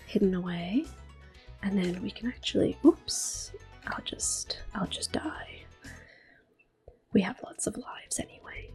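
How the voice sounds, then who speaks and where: Hushed, whispering woman doing ASMR gaming. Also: medium pitch. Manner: gentle, unhurried, hushed. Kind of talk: soft-spoken, whispered ASMR game commentary.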